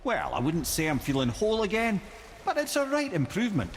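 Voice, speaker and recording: An actor with a Scottish accent playing a wry, learned, chatty storyteller companion in a video game. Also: male voice, sits high, wildly swooping pitch, a little fast, a little hiss or hum. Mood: dry, genial. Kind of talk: video game character acting.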